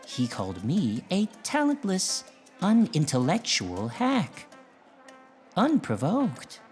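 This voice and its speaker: A man's voice: fairly high pitch, wildly swooping pitch, slow pace, soft background music, heavily acted. An actor playing a smug, evasive male ex-villain without powers, speaking in a pompous, self-important way in a comedic audio drama. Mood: indignant.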